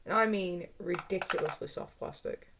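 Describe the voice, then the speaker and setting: A woman's voice: fairly low pitch, normal speed, background noise. A woman chatting casually to her live-stream viewers while repairing a console, talking to herself as she works.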